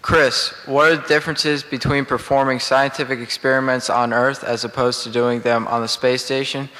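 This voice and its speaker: A man's voice: mid-range voice, level tone, normal speed, sharp tone. A teenage male student reading a prepared question into a microphone in an auditorium. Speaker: high school student questioner. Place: school auditorium. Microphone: desk microphone. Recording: echoing hall, faint noise.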